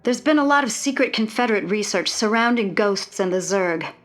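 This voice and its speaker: A woman's voice: medium pitch, expressive, fairly quick, natural voice. An actress playing a tough young female soldier who talks in a curt, matter-of-fact way in a video game cutscene. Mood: cool.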